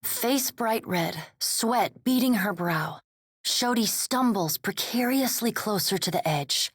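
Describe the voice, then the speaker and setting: A woman narrating a scripted game scene in a slow, hushed, measured way. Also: mid-range voice, animated, slightly rough, no room sound. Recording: dry studio.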